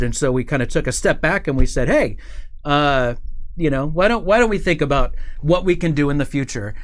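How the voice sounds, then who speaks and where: A man's voice: fairly high pitch, a bit bright. A man hosting a live stream, chatting informally and playfully with his audience as he rambles through announcements.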